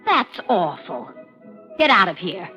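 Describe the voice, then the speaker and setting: An actress playing a high-strung woman accused of murder, who answers defensively and breaks into shrill outbursts. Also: sing-song, slow pace, bright, forceful tone, full character voice. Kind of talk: 1950s radio drama acting. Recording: dull lo-fi old radio broadcast with faint music.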